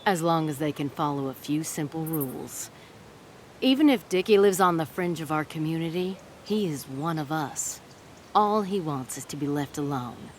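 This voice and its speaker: An actress playing a protective, kindly leader of a community of outcasts in a video game, measured, formal and gently firm. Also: female voice, sits low, slight background noise, natural voice.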